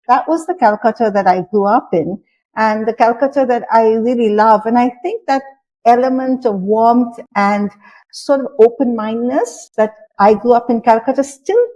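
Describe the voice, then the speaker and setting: A woman's voice: on the high side, mellow, poor audio. A woman in her sixties from India, speaking as an interview guest in a reflective, thoughtful way with frequent fillers. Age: 60s. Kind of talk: interview conversation.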